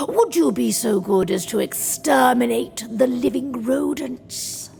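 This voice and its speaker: Undead lady of a manor, super creaky voice, slow n silky, scheming, talks down to you, bit of noise. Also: on the high side, a little mellow, full character voice. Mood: silky, haughty. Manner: formal, theatrical, deliberate, condescending. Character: haughty, silky undead noblewoman, scheming ghostly mistress. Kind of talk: video game cutscene acting.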